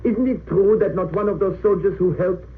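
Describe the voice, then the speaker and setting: A man's voice: high-pitched, moderate pace, natural voice. An actor in an old-time radio drama playing a stern, sneering German military judge, imperious and sarcastic as he questions and pronounces. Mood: sly, pressing. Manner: imperious, sarcastic, clipped interrogation. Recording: tinny narrow-band old radio recording, hissy.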